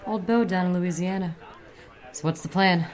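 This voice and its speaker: A woman's voice: on the low side, moderate pace, a little mellow, some chatter behind, natural voice. An actress playing an unflappable, streetwise woman sleuth in a noir audio drama, sassy and teasing. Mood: sassy, knowing. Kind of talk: noir radio drama acting.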